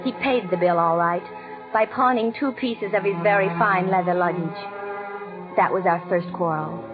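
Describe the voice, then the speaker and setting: A woman's voice: fairly low pitch, moderate pace, close to an everyday voice. An American actress playing a devoted young wife and doting mother in a 1940s radio drama. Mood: wistful narration. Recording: muffled 1940s radio recording with music.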